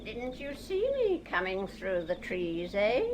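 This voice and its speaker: A woman's voice: medium pitch, very animated, takes it slowly, noisy background. An actress playing a lonely, eerie old woman in a radio drama, crooning and wheedling as she talks. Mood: probing, musing. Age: older.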